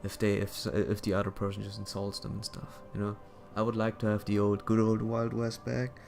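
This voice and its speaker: A man giving casual commentary as a streamer, halting and repeating his phrases as he thinks aloud. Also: fairly low pitch, monotone, vocal fry, a little mellow, soft background music. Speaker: streamer reacting to another stream.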